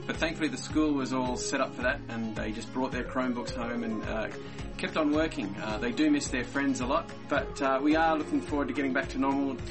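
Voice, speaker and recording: A man giving a recorded missionary update to his home church, talking in a halting way with frequent fillers. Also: medium pitch. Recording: music underneath. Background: guitar music.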